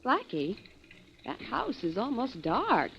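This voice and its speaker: A woman's voice: a little high, wildly swooping pitch, slow, vocal fry, a bit bright, exaggerated voice. An actress playing a detective's teasing, slightly jealous female companion in an old-time radio mystery. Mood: uneasy. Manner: dry, teasing, hesitant when uneasy. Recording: muffled old radio recording, faint noise.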